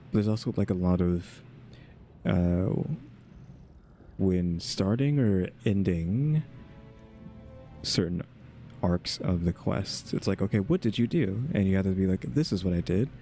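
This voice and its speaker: A man's voice: low voice, creaky, mellow. A man giving casual, chill commentary on a video game, rambling and musing aloud. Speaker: gaming video host.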